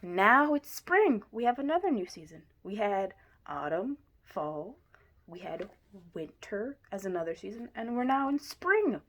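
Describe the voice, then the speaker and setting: A woman reading a children's picture book aloud in an expressive way, with deliberate emphasis. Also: medium pitch. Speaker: story reader.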